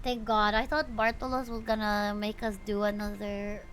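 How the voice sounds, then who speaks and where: A woman livestreamer chatting casually with her viewers in an expressive, playful way while she plays a story game. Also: medium pitch, normal speed, slight background noise. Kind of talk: casual livestream chat mixed with reading game dialogue aloud.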